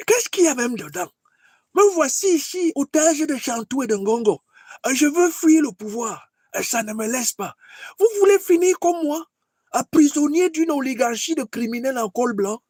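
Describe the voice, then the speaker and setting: High-pitched old dictator, weary and imperious. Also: male voice, lively intonation, normal speed, darkish tone, slightly rough, dry recording, studio quality, heavily acted. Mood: weary, complaining. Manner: exclaiming, scolding, repeats himself. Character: old dictator grand master, weary, imperious, mocking mentor. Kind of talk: satirical political skit.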